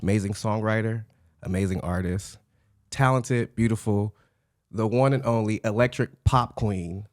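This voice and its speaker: A man's voice: lowish voice, moderate pace, dry recording, studio quality. A man hosting a podcast, upbeat and hyping his guest, laughing often. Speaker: podcast host. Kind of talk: casual interview hosting.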